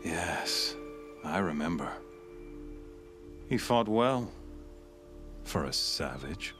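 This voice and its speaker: An actor playing a smug, fanatical cult killer in a video game, speaking in a grandiose, coldly menacing, sermon-like way. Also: male voice, sits low, sing-song, drawn-out words, heavily acted. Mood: cold gloating. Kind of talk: theatrical video game villain monologue. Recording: game dialogue over clear background music.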